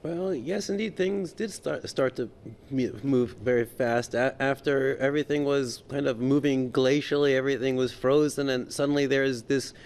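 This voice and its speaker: A man's voice: mid-range voice, moderate pace, a little mellow. A man giving unscripted political commentary as an interview guest, stammering and often repeating his words as he goes.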